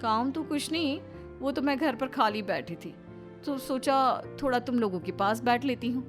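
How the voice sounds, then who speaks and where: A high, very animated voice of a pregnant neighbour played by a woman. She chats in a self-satisfied, idle way in a storybook family tale, with music behind her. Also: normal speed, close to an everyday voice. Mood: chatty, smug.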